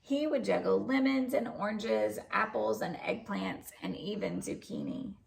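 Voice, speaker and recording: A woman narrates a children's storybook aloud. Her voice has heavy vocal fry, and her pitch moves expressively through the sentences at a middle register. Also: huge pitch swings, measured pace, poor audio. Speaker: storybook reader.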